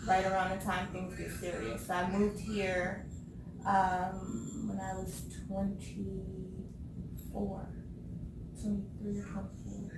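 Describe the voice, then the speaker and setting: A woman in her thirties from California, chatting casually on a talk show, laughing often and pausing with ums. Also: mid-range voice, monotone. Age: 30s. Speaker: co-host. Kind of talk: casual chat. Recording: echoey room, far from the mic, background noise.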